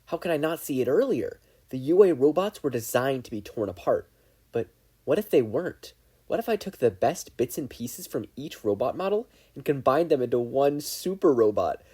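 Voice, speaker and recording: A man's voice: mid-range voice, lively intonation, normal speed, mellow, breathy edge, voice pushed up. A man voicing a gleeful, scheming young mad inventor with a grandiose, theatrical manner in an audiobook. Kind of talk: audiobook character voice.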